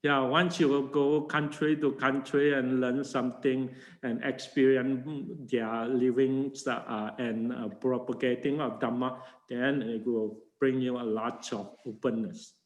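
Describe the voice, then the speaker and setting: An older man, a Buddhist monk teaching a class in halting full of fillers and restarts. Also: medium pitch, level tone, echoey room, low-quality recording. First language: Chinese. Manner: halting non-native English with many fillers and restarts. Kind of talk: informal dharma class talk.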